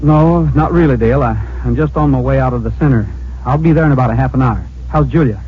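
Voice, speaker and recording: An actor playing an easygoing, inquisitive American hero, polite and folksy, in an old-time radio drama. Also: male voice, medium pitch, fast talker, natural voice. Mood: serious. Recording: muffled, faintly noisy old radio recording.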